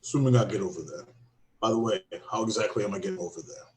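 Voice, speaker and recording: A man's voice: lowish voice, fast, roomy sound, crowd noise, rough recording. A man playing a tabletop game, talking in a rambling, searching way as he works out a plan. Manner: rambling, full of fillers and restarts. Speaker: player. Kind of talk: casual tabletop game chat.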